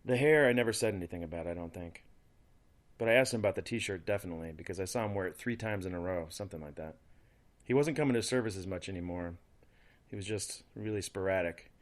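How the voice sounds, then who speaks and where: Man acting a low, brisk-talking church counselor. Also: lightly acted. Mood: matter-of-fact, reflective. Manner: conversational, matter-of-fact. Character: plain-spoken male church counselor recalling an acquaintance. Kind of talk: audio drama documentary-style interview.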